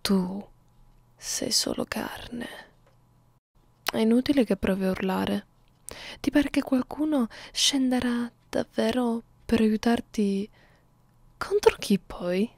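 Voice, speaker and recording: A playful, menacing young vampire acted out by a woman in an intimate ASMR roleplay. She drifts between whispers and soft speech at an unhurried pace, haughty and condescending toward her prey. The sound is close and very dry. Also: fairly high pitch, creaky, no echo, exaggerated voice. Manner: slow, teasing, condescending, menacing. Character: young female vampire, 342 years old, playful, predatory, haughty. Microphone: close ASMR microphone.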